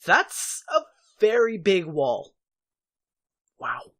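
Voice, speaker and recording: A man's voice: high voice, very animated, extremely slow, fairly bright tone, heavily acted. A man playing a wary, wry member of a fantasy war band in a fan audio drama. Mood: awed, uneasy.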